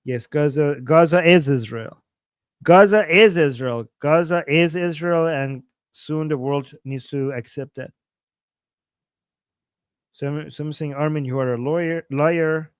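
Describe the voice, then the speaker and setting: A man's voice: on the high side, slow pace, clear, resonant tone, dry recording. A man in his forties hosting a casual livestream, reading viewer comments aloud and reacting with playful, chuckling asides and frequent repetitions. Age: 40s. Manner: playful, chuckling, repeats himself while reacting to chat. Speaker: livestream host.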